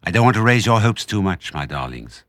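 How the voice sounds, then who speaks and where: Guy doing storybook daddy fox, clever n excitable, bossy but loving, voice swoops all over, close dry sound. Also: on the low side, a little fast, no echo. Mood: earnest, hopeful. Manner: exclamatory, boastful, affectionate. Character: clever, excitable, commanding father fox, fond of his children. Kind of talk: children's storybook character voice.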